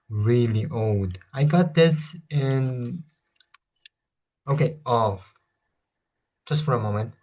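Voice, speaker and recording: A man's voice: medium pitch, darkish tone, poor audio. A man in his thirties with a Korean accent, speaking English as a second language and rambling casually through a console review, often hesitating and correcting himself. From South Korea. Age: 30s. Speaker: vlogger.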